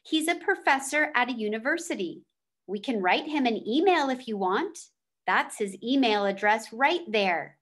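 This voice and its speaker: A woman's voice: on the high side, expressive, normal speed, fairly bright tone. A woman voicing a kind, patient male schoolteacher in a children's storybook, easygoing and encouraging. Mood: easygoing, helpful.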